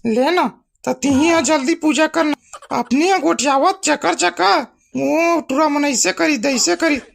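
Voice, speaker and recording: A woman performs a doting village mother from a cartoon comedy, with a Chhattisgarhi accent. Her voice is high with a crackly edge, and she explains and scolds unhurriedly over background music. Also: measured pace, creaky, full character voice. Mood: indignant. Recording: music behind the voice.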